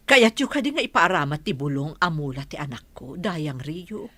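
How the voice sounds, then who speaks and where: A woman's voice: low voice, expressive, moderate pace, sharp tone, no room sound, full character voice. A woman playing an obliging, respectful village mother in an Ilocano radio drama.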